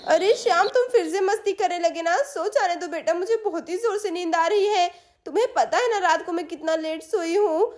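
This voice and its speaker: A woman's voice: very high-pitched, normal speed. A woman voicing a sleepy, indulgent mother in a children's doll cartoon, coaxing and drowsy.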